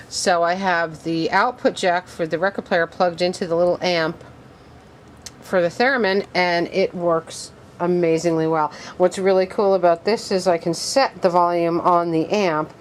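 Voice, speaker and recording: A woman giving a casual show-and-tell of her collection, excited and gushing, with halting delivery. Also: lowish voice, a little hiss or hum. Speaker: record player collector, vlogger.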